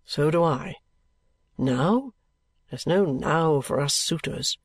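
Male actor as young gentleman, period novel dialogue: earnest, weary, evasive, creaky edge, very dry sound. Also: fairly high pitch, slow pace, no room sound, full character voice. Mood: restless, resigned. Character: restless young gentleman, affectionate but bitter and evasive. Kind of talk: acted dialogue in a classic novel audiobook.

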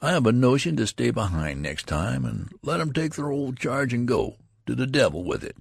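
An indignant young soldier acted by a man narrating a story grumbles and brags in rough country grammar. The voice is pushed higher, lively in pitch and a bit husky. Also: a little slow, no echo, clean studio sound, full character voice. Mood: aggrieved. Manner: grumbling, rustic ungrammatical talk. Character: aggrieved young male soldier, indignant and boastful.

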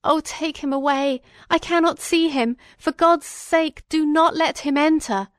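A woman's voice: a little high, moderate pace, no room sound, studio quality, natural voice. A woman voicing an anguished, feverish young man in a storybook, exclamatory and melodramatic. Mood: agonised pleading.

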